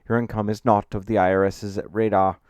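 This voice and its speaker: A man's voice: medium pitch, a little fast, darkish tone, smooth, no echo, voice pushed up, natural voice. A man voicing an earnest, authoritative accountant in an audiobook, speaking in a firm, assertive way. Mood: firm, confident. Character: earnest male accountant, authoritative, confident, easily annoyed.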